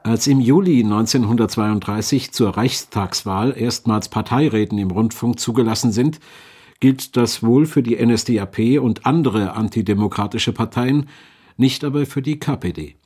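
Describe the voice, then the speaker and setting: A man's voice: medium pitch, normal speed, darkish tone, slightly rough. A man reading scripted documentary narration in a sober, factual manner.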